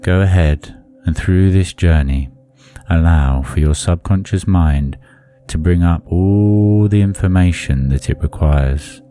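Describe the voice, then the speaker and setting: A man leading a guided meditation in a soothing, deliberate manner, leaving long pauses between phrases. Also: sits low, dark tone, soft background music.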